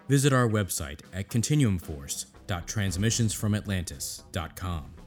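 A man reading scripted credits as an announcer, in a measured presentational style. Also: fairly low pitch. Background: music.

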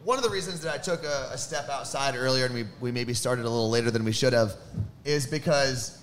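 A man's voice: medium pitch, fairly quick, slight background noise. A young man hosting a live podcast before an audience, chatty and joking. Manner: laughing, jokey banter. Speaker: radio host.

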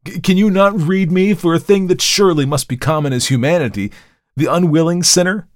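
A man's voice: a little high, fairly quick, no echo, clean studio sound, voice pushed up, lightly acted. A man voicing a desperate, guilt-ridden murderer with impassioned, theatrical pleading in a storybook reading. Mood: pleading, indignant. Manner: impassioned, theatrical exclamations and rhetorical questions. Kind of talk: dramatized literary storybook reading.

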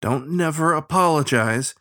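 A man's voice: fairly high pitch, measured pace, a bit bright, voice pushed up. A man voicing a devoted, tender-hearted beaver riverman in a storybook, speaking plainly and earnestly in rustic grammar.

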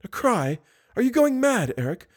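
A man's voice: extremely high pitch, sing-song, normal speed, husky, pitched above the performer's own voice, heavily acted. A man voicing a frightened young woman captive, pleading anxiously in an audiobook story. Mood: anxious denial.

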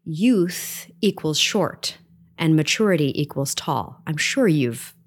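A woman with a notably low, deep speaking voice talks through her stage stories in an easygoing interview. She is chatty and animated, leaning on "you know" as she goes. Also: slow pace, breathy edge. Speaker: Broadway actress, guest. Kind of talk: conversational storytelling interview.

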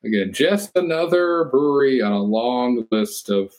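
A man's voice: medium pitch, animated, measured pace, darkish tone, rough recording. A man co-hosting a casual beer podcast who talks enthusiastically and throws in short reactions. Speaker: podcast co-host.

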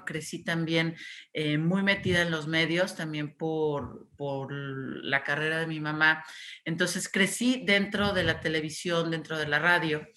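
A woman's voice: sits low, bright, forceful tone. A Mexican woman from Guadalajara gives a virtual conference talk, with many "este" and "eh" fillers. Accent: Mexican Spanish. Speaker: festival director giving a talk.

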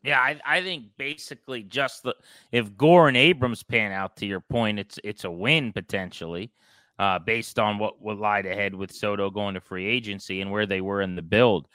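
A man's voice: lowish voice, normal speed, bright, forceful tone, no echo. A man hosting a sports podcast, talking and giving opinions in a conversational style.

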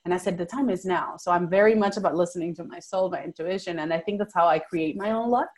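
A woman speaks as an interview guest. She is emphatic and lively, often restarting her sentences. Her voice sits a little low. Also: fast. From Venezuela. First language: Spanish. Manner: animated, emphatic, frequent "like" fillers and restarts. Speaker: guest, manifestation coach. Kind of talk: casual interview talk.

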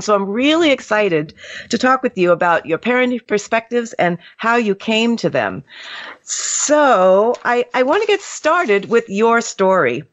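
Interviewer: woman, slightly high voice, eager and warm, restarts sentences, dry close sound. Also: no room sound. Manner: warm, enthusiastic, stumbles on starts. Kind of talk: conversational interview. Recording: dry, slightly muffled audio.

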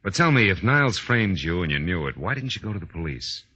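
Very deep-voiced, hard-boiled radio detective. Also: male voice, big pitch swings, fast talker, sharp tone, slightly rough, dry recording, heavily acted. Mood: wry, probing. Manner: wry, familiar, hard-boiled. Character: wry, world-weary male private detective. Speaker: lead actor and narrator. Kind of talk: 1940s radio noir acting. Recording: dull 1940s radio recording.

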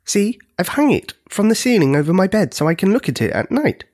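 A small, gentle giant child from a children's storybook, voiced by the narrator. The voice is lifted in pitch, quiet and kindly, with a faintly husky edge. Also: big pitch swings, a little fast. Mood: proud, reassuring.

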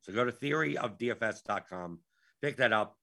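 A man's voice: on the low side, animated, a little fast, bright, forceful tone. A man hosting a live Q&A stream, his voice worn out, talking in an exasperated way and repeating himself. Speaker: show host.

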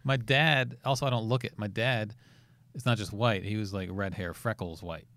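Forties guy, comedian guest, low-ish voice, laid back and matter of fact, dry sound. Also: normal speed, a bit bright, no room sound. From United States. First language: Farsi. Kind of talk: casual chat.

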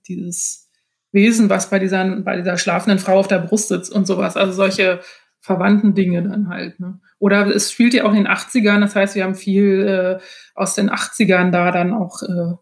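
A woman's voice: medium pitch, a little mellow. A middle-aged woman giving casual, conversational answers as a writer interviewed on a podcast.

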